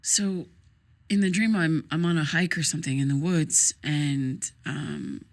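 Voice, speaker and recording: An actress playing a troubled, grieving young woman in a naturalistic audio drama, speaking in a hesitant, halting, casual way. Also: deep voice, steady tone. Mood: uneasy. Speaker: therapy client in audio drama.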